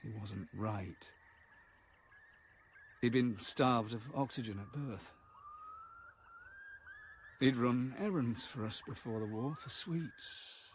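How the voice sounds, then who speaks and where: An actor playing a haunted, halting man who recalls a wartime childhood in a radio drama. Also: mid-range voice, slight background noise. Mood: grave, remembering. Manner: halting, fragmented, hesitant delivery.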